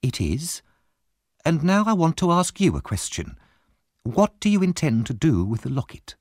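A man voicing a calm, unafraid and greedy woman in raised, firm tones, in a storybook reading. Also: fairly high pitch, moderate pace, no room sound, clean studio sound, pitched above the performer's own voice.

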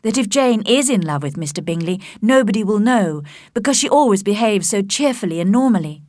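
Female reader as young storybook gentlewoman, spirited, reasoned, politely firm, mid pitch. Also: lively intonation, brisk, dry recording, studio quality, natural voice. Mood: reflective.

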